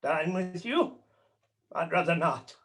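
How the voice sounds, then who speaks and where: A man's voice: a little high, lively intonation, takes it slowly, fairly bright tone, heavily acted. A man playing Scrooge, a grating old miser. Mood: scornful. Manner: grating, snarling, scornful. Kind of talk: radio play acting.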